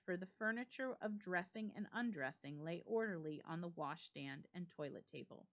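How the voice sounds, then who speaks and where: A woman giving a dramatic reading of a classic ghost story, theatrical and suspenseful, with deliberate emphasis. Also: fairly low pitch, a bit bright. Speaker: library staff storyteller.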